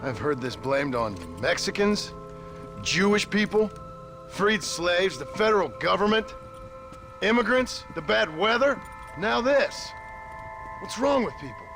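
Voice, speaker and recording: An actor playing a rugged, sardonic cowboy gunslinger in a video game. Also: male voice, high-pitched, sing-song, a little slow, pauses often, music in the background, full character voice. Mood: exasperated. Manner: dry, sardonic. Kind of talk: video game cutscene acting.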